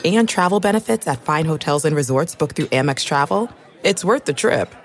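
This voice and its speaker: Female ad announcer, notably low pitch, scripted narration over music. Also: expressive, normal speed.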